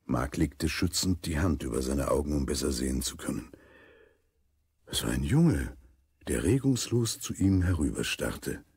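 A man narrating a children's radio play as a grave, unhurried storyteller. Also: very deep voice, expressive, creaky, a little mellow, breathy edge, dry recording, heavily acted. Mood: suspenseful storytelling.

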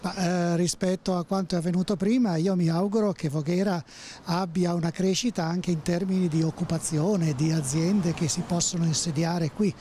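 Older man, mellow, soft-spoken street interviewee. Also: sits high, fairly even intonation, normal speed, slight background noise. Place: sunny street outdoors. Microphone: handheld interviewer mic.

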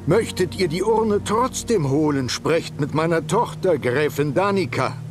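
Stately older nobleman, higher-pitched, lively intonation, formal game cutscene delivery over music. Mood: grave, courteous. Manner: formal, measured, ceremonious. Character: stately, formal older count, guardian of a relic. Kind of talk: video game cutscene acting.

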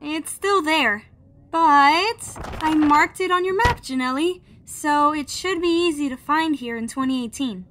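High-voiced, earnest young adventurer. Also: female voice, unhurried, a bit bright, a little hiss or hum. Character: curious, determined young twin on an adventure quest. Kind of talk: naturalistic audio drama acting.

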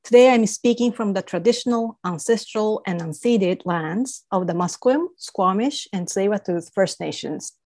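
A woman's voice: medium pitch, normal speed, hardly any pauses, darkish tone. A woman from East Asia, a native Japanese speaker with a Japanese accent, giving a scripted academic presentation as a professor. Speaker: university professor presenting research. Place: video call.

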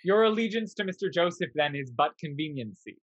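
A young man acts an obsequious schemer in a period comedy. His voice is husky with lively swings of pitch. The sound is dull and dry, like a lo-fi video call. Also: brisk, dry recording. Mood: insinuating. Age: young adult. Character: sly, obsequious male schemer and henchman. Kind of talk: period stage comedy acting. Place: indoor room, performing on video call.

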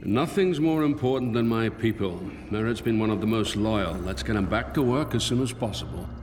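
A man plays a calculating middle-aged executive in a science-fiction game, with a lowish, authoritative voice. He speaks in clipped, commanding phrases. The sound rings with long reverb, and music plays behind him. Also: normal speed, darkish tone, lightly acted. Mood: firm. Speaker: corporate executive. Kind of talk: video game voice acting.

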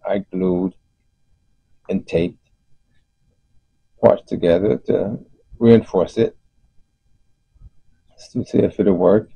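A man giving an unscripted explanation as host of a DIY video, speaking haltingly with frequent pauses. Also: deep voice, steady tone, creaky, slightly rough. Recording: muffled, roomy voice-over recording.

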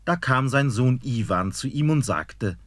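A man narrating a fairy tale in a measured storytelling manner. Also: mid-range voice, expressive, no room sound, studio quality.

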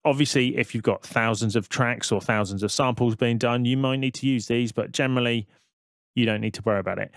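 Man, software tutor, casual conversational narration, step-by-step explaining, medium pitch, close dry recording. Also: fairly even intonation, fairly quick, dry recording.

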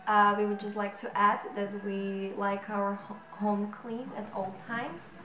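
Young Slovak woman, mid-twenties, bright and upbeat, casual chat to camera, echoey room. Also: mid-range voice, fairly even intonation, a little hiss or hum. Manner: cheerful, giggly, quick to joke. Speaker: flatmate seeking a roommate. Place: living room, indoors. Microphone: camera on a tripod. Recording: echoey room with music behind.

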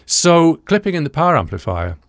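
A British man in his sixties presenting an explanatory audio commentary, deliberate and didactic, repeating key points, close to the microphone in a small studio. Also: a little high, sing-song, dry recording. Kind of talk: explanatory reaction commentary to camera. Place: small indoor studio room, dry.

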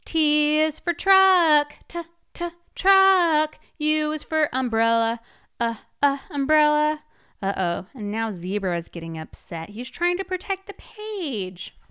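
A young woman librarian reading a picture book aloud to children, sounding out letters playfully in a slow, animated storytime style. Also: high-pitched. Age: young adult. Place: small home room, indoors. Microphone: large studio mic on arm in front of her.

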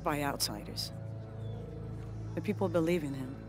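Woman playing a commanding rebel queen in a video game, formal and measured, middle-aged, music underneath. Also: low voice, close to an everyday voice. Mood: grave, measured. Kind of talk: cinematic video game acting.

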